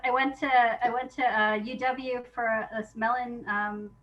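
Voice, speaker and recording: A woman's voice: a little high, steady tone, a little slow, a bit bright, highs cut off. A woman guest chatting casually in an interview, thinking aloud in an informal, hesitant way. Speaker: guest playwright.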